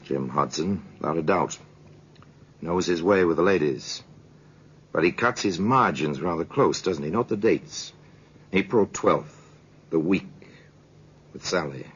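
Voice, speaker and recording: A knowing narrator of old radio crime stories with an extremely low voice. He is measured, ominous and wry, sweeping theatrically through his phrases on a muffled vintage recording. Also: a bit bright, slightly rough, exaggerated voice. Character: worldly, sardonic male storyteller of true murders. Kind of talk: 1950s radio drama narration. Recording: dull, noisy 1950s radio recording.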